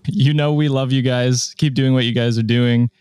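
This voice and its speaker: A man co-hosting a podcast in casual chat, with a monotone voice, self-deprecating and stammering a little. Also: mid-range voice, moderate pace, smooth, no echo. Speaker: podcast co-host reading reviews.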